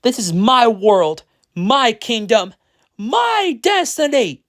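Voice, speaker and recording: A storyteller voicing a heroic, emotional father with loud, dramatic declarations. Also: medium pitch, expressive, takes it slowly, clean tone, dry recording, studio quality. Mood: fierce.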